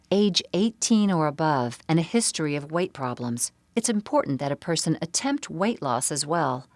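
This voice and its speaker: Female narrator for a medical presentation: low register, measured and explanatory, close dry recording. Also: no echo. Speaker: seminar narrator.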